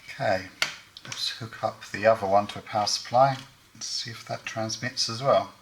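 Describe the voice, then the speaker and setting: A man's voice: fairly low pitch, fairly even intonation, moderate pace, very noisy. A man giving hands-on repair commentary as host, thinking aloud in short, matter-of-fact phrases.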